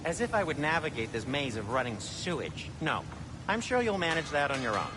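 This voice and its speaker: A squeamish dwarf craftsman speaks in a fairly high, swooping voice. He is prim, fastidious and drily sarcastic, in video game style, with a long echo around him. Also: big pitch swings, background noise. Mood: disdainful. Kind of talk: video game character acting.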